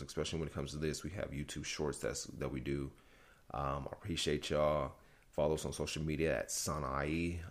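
A man's voice: a bass voice, creaky. A young man hosting a solo podcast, talking casually and straight to his listeners. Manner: casual, speaks directly to listeners. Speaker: podcast host.

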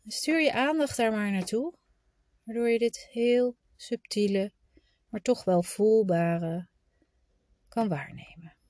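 A woman leading a guided meditation in a soft, soothing, instructive manner, at times trailing into a breathy whisper. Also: fairly high pitch, no room sound, studio quality. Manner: informal, instructive, with unhurried pauses.

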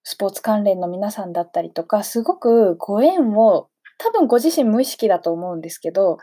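A woman's voice: mid-range voice, expressive, a little fast, warm tone, husky. A woman hosting a conversational radio interview, politely and attentively affirming her guest. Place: radio programme recording.

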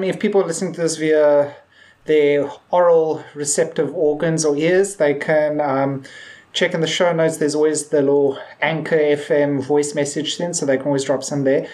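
A man's voice: fairly high pitch, darkish tone. A man hosting a podcast in casual chat, speaking in a hesitant, rambling and tentative way. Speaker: podcast host.

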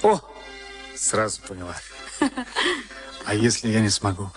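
Weary low-voiced boxer, music behind. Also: male voice, big pitch swings, measured pace. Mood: weary, earnest. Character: moody, weary 36-year-old professional boxer and former prisoner. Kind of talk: Soviet radio play acting. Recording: archival radio recording with music behind.

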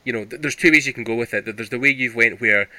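A man's voice: medium pitch, quick pace, pushed and bright, dry recording, low-quality recording. A man in casual podcast conversation, talking in an earnest, rambling, self-reflective way with frequent "you know". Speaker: podcast host and artist.

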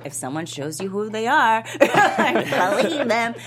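A woman's voice: on the high side, very animated, slow, slight background noise. An American woman from Texas chatting casually as a panelist, acting out little quoted lines as she goes. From Texas, USA. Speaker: table read panelist.

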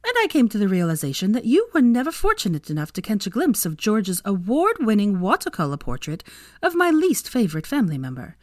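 A woman's voice: mid-range voice, huge pitch swings, fairly quick, a little mellow, no room sound, studio quality, voice pushed up. A woman voicing a sly adult woman who speaks in a smirking, mock-polite way. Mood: teasing.

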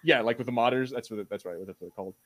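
Man podcast co-host chatting like an excitable fan. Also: mid-range voice, very fast. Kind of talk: casual chat.

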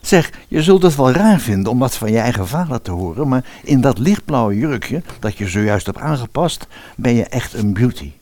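A man playing a doting middle-aged father in a fairy-tale radio play, gentle, chatty and well-meaning. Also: medium pitch, expressive, normal speed, dry recording, natural voice. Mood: admiring.

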